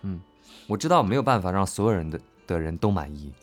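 A male voice actor talking casually and reflectively about his work, earnest and halting, often repeating his phrases. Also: low-pitched, sing-song, soft background music. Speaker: voice actor discussing an audio drama. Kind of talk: casual, reflective cast chat.

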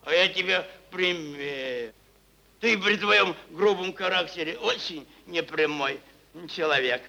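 A man's voice: on the high side, slow pace, vocal fry, sharp tone, exaggerated voice. An actor playing a crude, mocking merchant boss who rambles and repeats his words in a theatrical stage style. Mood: mocking indignation. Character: crude, drunken, bullying male merchant boss, cynical and rambling. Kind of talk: theatrical stage acting, Russian classic prose.

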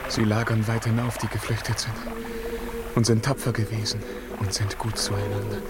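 A man's voice: fairly low pitch, little pitch movement, normal speed, loud music behind the voice, close to an everyday voice. An actor playing an anguished young man in a 1950s radio play, theatrical and impassioned as he searches for his beloved. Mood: weary, hesitant. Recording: noisy old 1950s radio recording.

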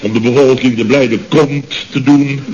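An actor playing a blustery, exasperated middle-aged company boss in a radio comedy serial, repeating himself and stammering when flustered. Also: male voice, medium pitch, steady tone, a little slow, darkish tone, lightly acted. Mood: pleased. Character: blustering, exasperated male boss of a building firm. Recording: muffled, noisy old radio recording.

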